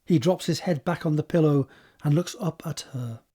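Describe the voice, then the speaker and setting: A man's voice: on the high side, normal speed, dark tone, slightly rough. A man reading scripted scene narration for an audio drama.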